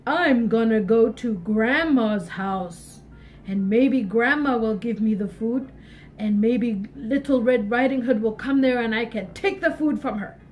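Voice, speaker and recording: A woman's voice: a little mellow, faint music behind, close to an everyday voice, low-quality recording. Woman growling as a sly big bad wolf. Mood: scheming. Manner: drawn-out, sly, lower voice.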